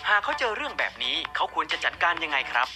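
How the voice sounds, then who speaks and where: Lively high-voiced male host. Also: fast. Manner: formal, polite announcer delivery. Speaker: TV program host. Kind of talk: scripted program hosting. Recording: loud music under the voice.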